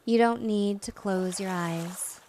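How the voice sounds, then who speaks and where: A woman hypnotist gently narrating a guided relaxation, soothing and unhurried, with long pauses between phrases. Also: mid-range voice, a little hiss or hum.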